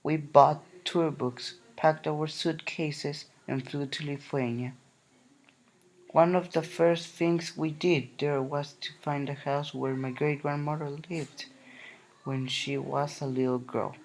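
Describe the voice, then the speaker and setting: A man reading a children's picture book aloud in a gentle, unhurried way. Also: on the high side, flat delivery, poor audio. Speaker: narrator. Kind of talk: storybook reading.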